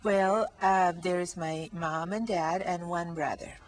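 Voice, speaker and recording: A woman's voice: on the low side, steady tone, slow pace. A woman from Chile, a guest answering a teacher's questions in brief, careful replies. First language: Spanish. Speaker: guest university Spanish teacher. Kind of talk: classroom interview answers.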